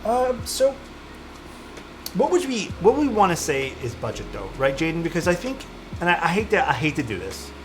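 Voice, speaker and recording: A man's voice: on the high side, wildly swooping pitch, normal speed, slight background noise. A young man with a Toronto Canadian accent, chatting casually with his livestream audience while soldering a keyboard. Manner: easygoing, chatty, answers chat while soldering.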